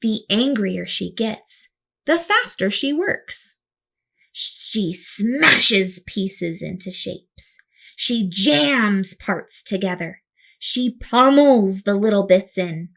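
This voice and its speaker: Animated woman reading a children's picture book. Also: medium pitch, creaky. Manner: dramatic, acts out words with her voice. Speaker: children's storyteller. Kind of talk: expressive picture-book read-aloud.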